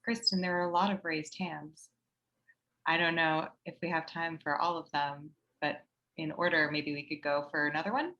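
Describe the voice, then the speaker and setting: Bright-voiced woman moderating a call. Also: deep voice, fairly even intonation, normal speed, muffled recording. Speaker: session moderator. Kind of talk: moderating an online seminar. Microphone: video call.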